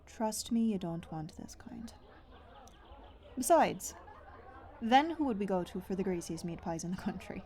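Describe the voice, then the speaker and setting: A woman playing a brisk, wry, guarded young outcast guide in a fantasy audio drama. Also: medium pitch, dark tone, natural voice. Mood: wry banter. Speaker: voice actor. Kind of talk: fantasy audio roleplay acting.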